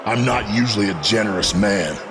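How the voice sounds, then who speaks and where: An actor playing a gloating, cruel wrestling boss, ominous and mock-generous in his drawn-out delivery. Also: fairly low pitch, lightly acted. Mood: gloating menace. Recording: echoey hall, faint noise.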